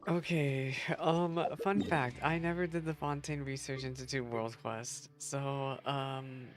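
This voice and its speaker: A woman's voice: rumbling low, steady tone, a little slow. A woman chatting informally and a little drowsily as she reacts to a video game, with game music behind her. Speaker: gamer reacting to a playthrough. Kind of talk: casual chat, gameplay reaction.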